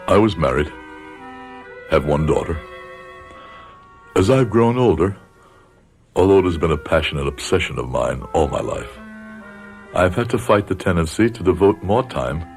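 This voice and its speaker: Deep-voiced, brooding older professor. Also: male voice, vocal fry, full character voice. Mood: somber confiding. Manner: grave, deliberate, formal. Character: aging guilt-ridden psychology professor, brooding, grimly controlled. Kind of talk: radio drama acting.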